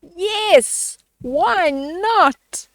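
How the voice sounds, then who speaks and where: A stubborn female cow from a children's storybook, put on by an older woman reader, exclaiming emphatically. The pitch is high and leaps about in animated swings. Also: very slow, a little hiss or hum, heavily acted. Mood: eager.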